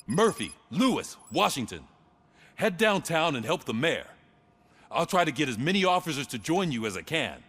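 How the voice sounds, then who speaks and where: An actor playing an authoritative, defiant police captain giving orders in a video game. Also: male voice, fairly high pitch, big pitch swings, normal speed, fairly bright tone. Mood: commanding. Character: commanding male police captain, rousing and defiant. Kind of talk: video game cutscene acting.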